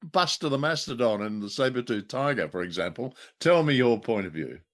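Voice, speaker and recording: A man's voice: mid-range voice, lively intonation, a little fast. A man co-hosting a casual review chat, speaking in a wry, wordy way. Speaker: podcast co-host.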